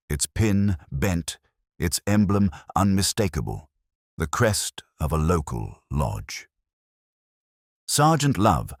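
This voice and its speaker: A man reading a scripted mystery story in a measured, wry, literary way. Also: a bass voice, no echo, studio quality. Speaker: narrator.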